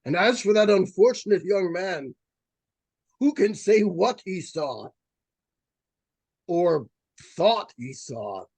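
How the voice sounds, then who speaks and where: An ailing doctor as acted in a 1940s radio thriller, courteous and formal. The voice is weak and husky, halting and very slow. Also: mid-range voice, animated, darkish tone, heavily acted. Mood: guarded, grave. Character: ailing, courteous doctor shaken after a haunting. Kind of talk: 1940s radio suspense acting.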